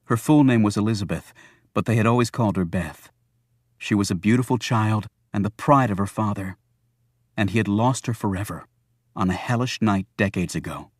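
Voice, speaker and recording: Male narrator, lower register, scripted thriller audiobook, very dry sound. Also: darkish tone, dry recording.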